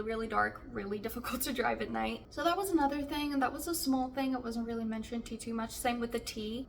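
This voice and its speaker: A woman's voice: fairly high pitch, gravelly. A young South African woman with a South African accent reviewing a book in a casual chatty vlog, full of "like" fillers. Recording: distant mic with faint background hiss.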